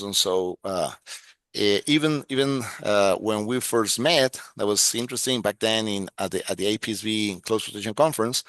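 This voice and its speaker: Halting Ecuadorian man, smooth dry voice. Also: medium pitch, fairly bright tone, no room sound. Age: middle-aged. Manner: halting, many restarts and fillers. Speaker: guest, security expert. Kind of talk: casual interview talk.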